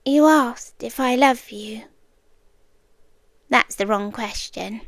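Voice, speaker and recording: A British woman acting in an ASMR roleplay as an obsessive, calmly possessive girlfriend, speaking intimately and often slipping into whispers. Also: on the high side, slow pace, dry recording, studio quality. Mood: devoted, intense. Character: obsessive, soft-spoken yandere girlfriend, calmly possessive young woman captor.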